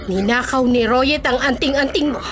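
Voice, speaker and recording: A woman's voice: high voice, normal speed, over music, lightly acted. A woman voicing an alarmed witness in a horror audio drama, urgent and exclaiming. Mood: urgent warning. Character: alarmed, urgent witness reporting betrayal and supernatural fire.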